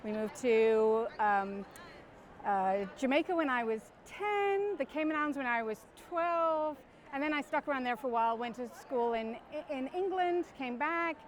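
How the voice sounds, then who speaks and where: A middle-aged woman born in Guyana, an author chatting as a guest in an interview, talking in quick bursts and correcting herself as she goes. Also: high-pitched, expressive, slight background noise. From born in Guyana, raised abroad. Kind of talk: casual interview chat. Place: parked van.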